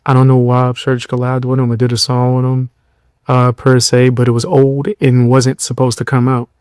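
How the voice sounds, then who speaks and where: A tired young man hosting a podcast, giving blunt, mocking commentary in loose slang with a low voice. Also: monotone, normal speed, a little mellow, smooth, no room sound, clean studio sound. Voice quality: lower voice. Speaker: podcast host. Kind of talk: casual commentary.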